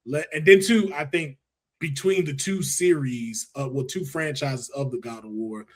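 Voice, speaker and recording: A man hosting a casual pop culture discussion in a colloquial, emphatic, animated way. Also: medium pitch, moderate pace. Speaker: podcast host.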